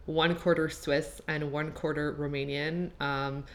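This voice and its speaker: A woman's voice: low voice, little pitch movement, measured pace. A woman in her late twenties from Quebec with a Canadian accent and French as her first language, hosting a podcast in a casual, chatty, extroverted monologue peppered with "like". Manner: chatty, extroverted, filler-heavy with "like". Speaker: podcast host. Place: apartment.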